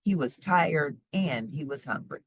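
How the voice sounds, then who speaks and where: A woman reading a children's story aloud expressively, with sing-song repetition and deliberate emphasis. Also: low-pitched, animated, breathy edge, no room sound. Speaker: storybook reader.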